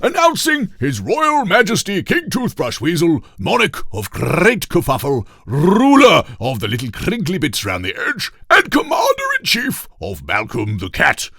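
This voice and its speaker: Pompous, booming weasel king. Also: huge pitch swings, measured pace, hardly any pauses, dry recording, studio quality, exaggerated voice. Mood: grandly proclaiming. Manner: grand, loud proclaiming, firmly self-assured. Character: pompous, blustering weasel king and royal trumpeter.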